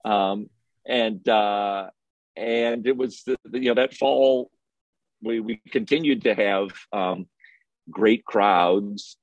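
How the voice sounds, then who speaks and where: A man reminiscing casually in an interview as a film festival programmer, speaking haltingly with frequent restarts. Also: on the low side, no echo, dull audio.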